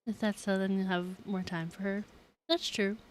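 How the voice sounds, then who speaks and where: A woman's voice: mid-range voice, fairly even intonation, normal speed. A woman streaming a game, chatting casually in a playful, giggly way. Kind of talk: casual livestream chat over gameplay. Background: faint game music.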